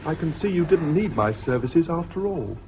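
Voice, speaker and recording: An actor playing a calm, decent older schoolboy with an English public-school accent, speaking in a measured, kind way in a radio drama. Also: male voice, on the high side. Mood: grave, dry. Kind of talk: 1970s BBC radio drama acting. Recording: muffled, hissy old radio broadcast.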